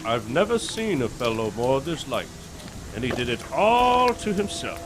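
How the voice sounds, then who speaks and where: An actor playing a callous, gossiping merchant in an audio drama, with a mocking, folksy manner. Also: male voice, medium pitch, very animated, normal speed, background noise, lightly acted.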